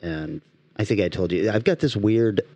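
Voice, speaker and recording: Man, low pitch, booming voice, animated storytelling, casual podcast chat. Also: normal speed, no echo. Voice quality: big booming voice. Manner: animated storyteller, loud shouted put-on quotes. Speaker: podcast co-host.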